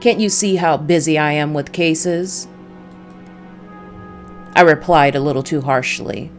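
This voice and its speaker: Weary noir private eye, ex-cop, read by a woman: low, dry, brooding narration over light music. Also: unhurried. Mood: wry, weary.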